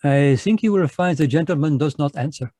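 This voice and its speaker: An actor playing a fussy, courtly Belgian detective, in a live radio drama over a video call. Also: male voice, a little high, a little fast, dark tone, no echo, natural voice. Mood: smug, courtly. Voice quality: precise, courtly French-inflected delivery. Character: fussy male Belgian detective, polite and sly.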